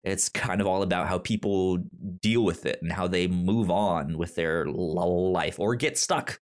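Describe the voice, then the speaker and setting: A man's voice: sits low, unhurried. A man hosting a podcast in a casual, chatty style, often catching and repeating sounds as he starts words. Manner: frequent stammered sound repetitions. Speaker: podcast host.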